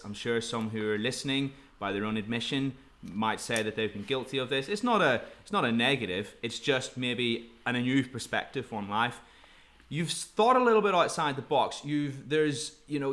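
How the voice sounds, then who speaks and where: A man hosting a podcast, with a bright, forward voice and a mid-range pitch. He chats in a friendly way, letting his sentences ramble loosely as he talks. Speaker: podcast host. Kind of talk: casual podcast interview.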